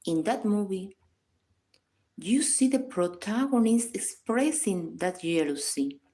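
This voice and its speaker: A woman reading a scripted guided meditation in a calm, measured, instructional manner. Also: mid-range voice. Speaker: psychologist guiding a meditation.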